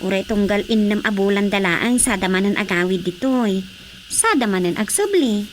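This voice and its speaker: An actress playing a grown daughter who explains family news in a radio drama. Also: female voice, medium pitch, lively intonation, normal speed, slight background noise, lightly acted. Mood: explaining.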